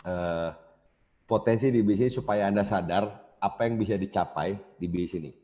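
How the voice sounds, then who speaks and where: Low-voiced man giving a business seminar. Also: normal speed, dark tone. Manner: casual, addresses audience directly. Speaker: business speaker. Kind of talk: motivational seminar talk. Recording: dull lo-fi cassette recording.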